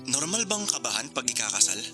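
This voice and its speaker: An actor playing a joking, nervous young groom with cold feet in a Filipino radio drama, hesitant and confiding. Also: male voice, medium pitch, sing-song, a little fast, bright, forceful tone, faint music behind.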